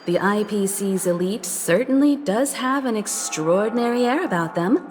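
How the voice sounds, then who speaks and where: A woman's voice: medium pitch, lively intonation, normal speed, natural voice. A woman playing a poised, persuasive navigator. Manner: polished, diplomatic. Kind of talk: video game voice acting. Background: game music.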